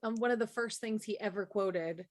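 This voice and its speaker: A woman's voice: medium pitch, normal speed. A woman co-hosting a podcast in casual, conversational chat. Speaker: podcast co-host.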